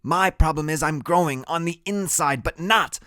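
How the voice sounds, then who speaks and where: A man acts out a small, brainy boy for an audiobook. The voice is pitched high and bright, with grand, precise phrasing. He sounds bossy and pompous, a tiny professor laying down the law. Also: moderate pace, no room sound, clean studio sound. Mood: earnest, lofty.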